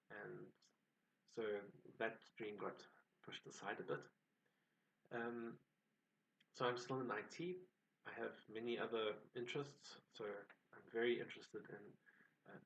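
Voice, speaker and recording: A man with a South African accent, introducing himself on his own channel in a measured, reflective way. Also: lowish voice, vocal fry, a bit bright, far from the mic, a little hiss or hum, rough recording. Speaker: channel host. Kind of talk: personal introduction to camera.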